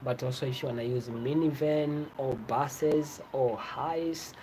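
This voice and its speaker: Tanzanian vlogger, swahili first language, chatty explaining w/ lots of rephrasing, medium pitch, noisy background. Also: measured pace, dark tone. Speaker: travel vlogger. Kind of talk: informal explanatory vlog talk. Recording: clear background ambient noise.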